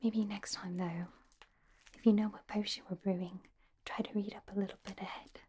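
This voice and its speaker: A woman playing a studious, caring teenage schoolgirl witch in a whispered, breathy ASMR roleplay, speaking gently and helpfully with small hesitant pauses. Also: mid-range voice, little pitch movement, lightly acted. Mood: gently advising.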